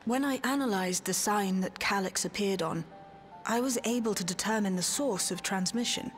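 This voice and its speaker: A woman's voice: medium pitch, normal speed, faint music behind, lightly acted. Woman acting a clever, matter-of-fact technical expert. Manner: matter-of-fact, articulate, self-assured. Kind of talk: dramatic video game voice acting.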